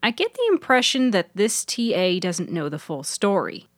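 A woman voicing a bright, wry young woman in a fiction podcast, articulate and emphatic. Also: on the low side, sing-song, moderate pace, dry recording, clean studio sound. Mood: confiding. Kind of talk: audiobook character voice.

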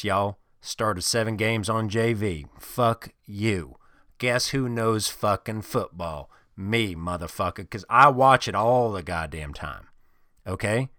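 A loud, profane, swaggering middle-aged football fan, as a man puts him on, ranting from the couch in a lowish, forceful, bright-edged voice. The recording is dry and close. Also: no room sound. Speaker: podcast host in character. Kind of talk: improvised comedy character monologue.

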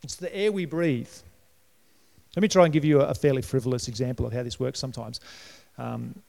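A middle-aged Australian man preaching a sermon in a conversational way, his voice jumping up in pitch and loudness at times, recorded in a church hall. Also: steady tone, fairly quick, gravelly. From New South Wales, Australia. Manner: conversational preaching with sudden lifts in pitch and volume. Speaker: pastor. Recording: long reverb of a church hall.

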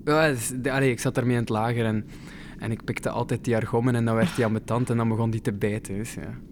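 An actor playing an awkward, apologetic young airline passenger in a radio drama, speaking halting, informal Dutch with a Flemish accent. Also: male voice, mid-range voice, fairly even intonation, lightly acted. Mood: teasing. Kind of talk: naturalistic radio drama dialogue. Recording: clear background noise.

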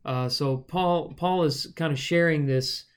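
A man hosting a podcast, talking through a Bible passage in a relaxed, explanatory way with a co-host. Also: fairly high pitch, measured pace, clean tone. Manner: relaxed and explanatory, with frequent fillers. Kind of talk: conversational Bible teaching.

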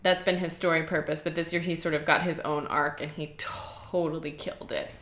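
A woman's voice: sits low, fairly even intonation, brisk, fairly bright tone, roomy sound. A woman co-hosting a podcast, chatting casually and emphatically about favorite television performances. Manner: enthusiastic, emphatic. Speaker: podcast co-host.

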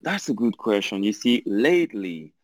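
Low-voiced man with a French accent. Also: big pitch swings, moderate pace, dry recording, clean studio sound. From Belgium and France. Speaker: podcast guest. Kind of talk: casual chat.

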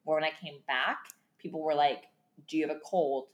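A young woman with a deeper, husky voice, talking bluntly in a casual podcast chat and laughing often. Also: steady tone, a little fast. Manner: blunt, laughs often, uses mild profanity. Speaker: podcast co-host. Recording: echoey room.

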